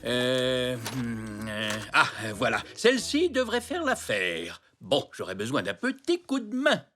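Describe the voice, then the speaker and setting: A man's voice: mid-range voice, slow, background noise. An actor playing a cheerful, patient, encouraging grandfather zebra in a French children's cartoon. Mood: kindly, jolly. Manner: encouraging, chuckling, expressive.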